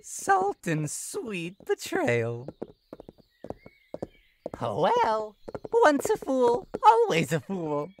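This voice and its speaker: A mischievous clown creature from a horror game lurches between singsong teasing and low, creaky menace. The pitch swings wildly as it toys with the listener in theatrical, taunting fashion. There is clear noise on the recording. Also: female voice, full character voice. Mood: mocking playful. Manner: theatrical, teasing singsong with drawn-out words and sudden drops. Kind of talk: visual novel horror game character acting.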